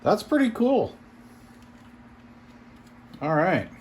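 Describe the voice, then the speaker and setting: A man's voice: high voice, very animated, extremely slow, darkish tone. A man giving casual unboxing commentary as a reviewer, thinking aloud with mild, impressed exclamations. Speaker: product reviewer. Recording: clear background noise and room ambience.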